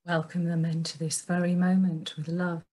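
Husky woman leading a guided meditation. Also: fairly low pitch, flat delivery. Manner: gentle, unhurried phrasing with long pauses. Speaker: meditation teacher.

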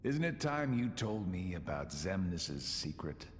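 Sly, drawling schemer with swooping pitch. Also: male voice, big pitch swings, a little slow, in a large hall, a little hiss or hum. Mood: sly, casual. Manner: drawling, teasing, theatrical. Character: sly, mocking middle-aged male schemer. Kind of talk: video game cutscene acting.

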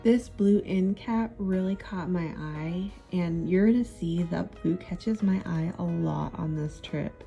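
A woman in her thirties narrating a thrift shopping trip in a chatty, relaxed, easily amused way. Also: fairly low pitch, slow, vocal fry, few pauses, soft background music. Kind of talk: casual voice-over narration.

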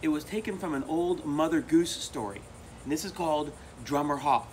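A middle-aged American man with an expressive, emphatic delivery, presenting and reading a children's picture book aloud. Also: fairly high pitch, moderate pace. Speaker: volunteer storybook reader. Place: outdoors among palm trees. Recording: outdoor recording with insect noise. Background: crickets and insects.

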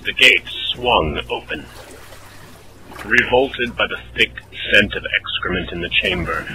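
A man giving measured, dramatic scripted narration for a fantasy audio drama. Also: on the low side, expressive, noisy background. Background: orchestral game music.

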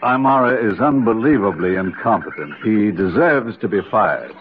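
An actor playing a fussy, blunt older uncle who leads an expedition in a radio drama, lecturing emphatically. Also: male voice, on the low side, animated, narrow, tinny audio, close to an everyday voice. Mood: stern lecturing. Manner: emphatic, lecturing, halting asides. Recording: thin, band-limited, faintly noisy radio recording.

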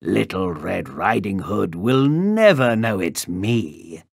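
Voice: low voice, sing-song, takes it slowly, gravelly, slightly rough, no echo, clean studio sound, full character voice. A storyteller voicing a big bad wolf from a children's tale, growling and snarling with falsely sweet, greedy menace. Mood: gloating.

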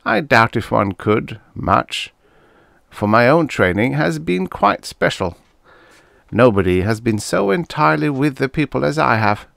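A man's voice: medium pitch, very animated, unhurried, vocal fry, fairly bright tone, no room sound, studio quality, pitched above the performer's own voice, exaggerated voice. A man voicing a self-assured, boastful politician of seventy in a story, speaking formally and pompously. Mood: smug, frosty. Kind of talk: storybook character dialogue.

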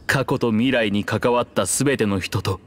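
Man voicing a very deep, solemn ancient dragon king. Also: close to an everyday voice. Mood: grave, resigned. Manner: grave, formal, measured. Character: ancient sealed dragon king in human form, solemn, proud. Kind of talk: Japanese game voice acting, archaic dramatic dialogue.

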